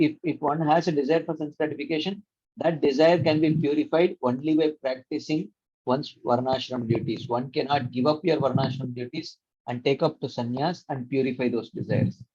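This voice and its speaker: A man teaching scripture on an online call, his voice sitting slightly high for a man and coming through dry and dull. He explains patiently and at length, with frequent hesitations, and recites short verses in a chanting tone. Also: slightly rough, no room sound. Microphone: computer mic on a video call.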